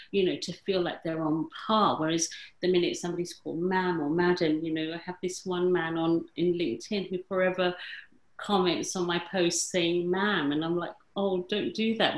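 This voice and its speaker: Low-voiced woman chatting in an echoey room. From England. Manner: rambling, many fillers and self-corrections. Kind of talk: reflective conversational chat.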